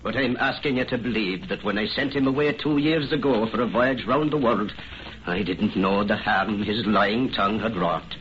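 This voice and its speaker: A stern but remorseful older Irish father acted by a man speaks earnestly and gravely in a radio drama. His pitch is on the low side, and the old recording is dull with clear background noise. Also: normal speed, natural voice.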